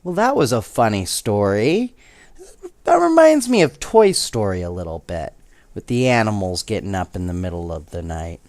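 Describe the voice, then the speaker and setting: A man's voice: fairly low pitch, very animated, normal speed, a little mellow, no room sound. A man reading children's bedtime stories aloud, with casual asides to his listeners. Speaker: bedtime story reader.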